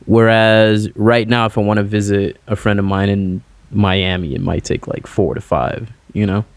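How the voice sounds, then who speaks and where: Young guy from puerto rico rambling, full of likes, lowish voice, dry close sound. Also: flat delivery, moderate pace, no room sound. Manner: loose, rambling, heavy on "like" fillers. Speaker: musician guest. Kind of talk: casual interview chat.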